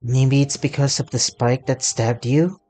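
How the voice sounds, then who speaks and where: A man streaming a story game, reading dialogue aloud and adding halting, muttered asides. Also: mid-range voice, fairly even intonation, a little mellow. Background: game music.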